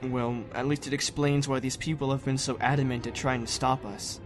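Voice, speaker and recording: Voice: mid-range voice, level tone, fairly quick, music in the background, natural voice. An actor playing a skeptical, bewildered young man in an amateur sci-fi drama, questioning everything in disbelief. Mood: incredulous. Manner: incredulous, questioning. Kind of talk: amateur anime-parody drama acting.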